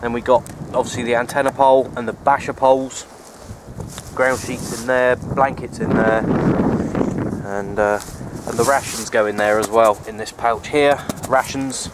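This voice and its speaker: A man, rambling casually in a low-key, resigned way as he narrates a vlog on a handheld camera. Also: fairly even intonation, moderate pace. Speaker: outdoor radio hobbyist vlogger. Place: outdoors on an open wooded hilltop. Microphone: handheld camera's built-in mic, held by speaker. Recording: outdoor handheld camera, wind and ambient noise.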